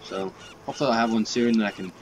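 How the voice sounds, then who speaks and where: A man's voice: mid-range voice, racing, background noise. Congested young man rambling over gameplay. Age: young adult. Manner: rambling, swears often, many fillers. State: stuffy nose, raspy from a cold. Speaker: gaming youtuber. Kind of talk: casual gameplay commentary.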